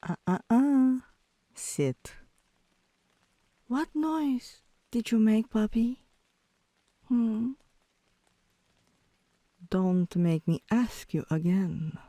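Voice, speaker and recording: A woman voicing a teasing, softly dominant tomboy girlfriend in an intimate ASMR roleplay, with a low voice that turns breathy at times and a coaxing, commanding manner. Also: expressive, drawn-out words, long pauses, no echo, heavily acted.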